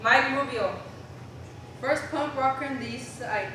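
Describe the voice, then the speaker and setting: A female youth performer with a fairly high voice reads on stage in a live production. The sound is very echoey and picked up from far away, with clear noise. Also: measured pace, sharp tone. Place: indoor live theater event. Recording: echoey room, distant, noisy.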